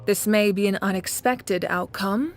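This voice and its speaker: A woman's voice: medium pitch, measured pace, music in the background, close to an everyday voice. A woman playing a composed, magnanimous leader of a nation in a video game, speaking with formal, poised authority. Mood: composed, diplomatic. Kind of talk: video game character acting.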